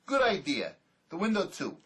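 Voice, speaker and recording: A man acting the part of a gruff, skeptical, sarcastic escaped convict and armed robber in a drama. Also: high voice, huge pitch swings, moderate pace. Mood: sardonic. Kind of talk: dramatic script performance.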